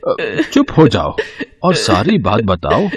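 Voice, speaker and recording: A man playing a kind, resigned elderly man in a moral story, speaking slowly and sorrowfully to console. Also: on the low side, wildly swooping pitch, slightly rough, dry recording, clean studio sound, heavily acted. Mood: concerned, sorrowful.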